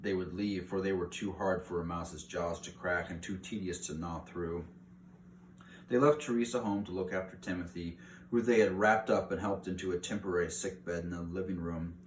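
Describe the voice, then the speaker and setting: A man's voice: sits low, recorded from a distance. A man reading a children's book aloud as narrator. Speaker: story reader. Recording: roomy echo, clear background hiss.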